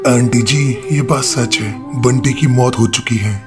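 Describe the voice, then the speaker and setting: A woman voicing a gentle, sincere adult male bird in a children's cartoon story. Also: a bass voice, steady tone, unhurried, music in the background. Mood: grave. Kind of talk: Hindi children's moral-story cartoon dubbing.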